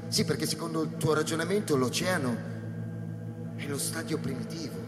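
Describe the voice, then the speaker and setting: An actor playing a sober, probing space-station colleague in a radio drama, with deliberate, halting phrases. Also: male voice, fairly high pitch, natural voice. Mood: pondering. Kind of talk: theatrical radio drama acting. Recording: echoey hall reverb under loud music. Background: live electronic and flute music.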